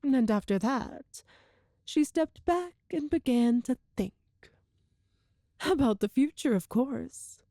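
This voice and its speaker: Woman acting a slow, high-voiced, smugly cruel immortal empress. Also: animated, dark tone, no room sound, studio quality, heavily acted. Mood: grandly narrating. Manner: deliberate, condescending, grandiose. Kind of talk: scripted dramatic monologue, ASMR roleplay.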